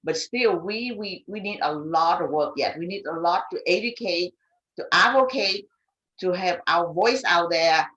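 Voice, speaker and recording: Low-voiced non-native English speaking woman. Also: unhurried, fairly bright tone, dull audio. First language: not English. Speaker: immigrant and refugee advocate on a panel. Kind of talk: panel discussion, spontaneous.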